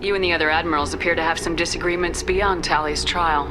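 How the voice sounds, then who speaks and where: Woman playing brisk, low-voiced space commander. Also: steady tone, music in the background, natural voice, low-quality recording. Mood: firm. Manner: direct, businesslike, assured. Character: composed, direct female space commander, principled soldier. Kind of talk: video game dialogue acting.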